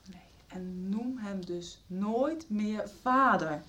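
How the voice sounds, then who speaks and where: A woman's voice: medium pitch, slow, a bit bright. An actress playing an inquisitive, pointed young woman in a family radio soap. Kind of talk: 1990s radio soap acting.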